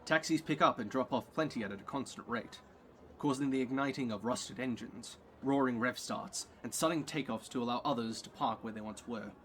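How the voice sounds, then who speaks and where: Male narrator, medium pitch, scripted audio play scene-setting. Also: normal speed, fairly bright tone, a little hiss or hum.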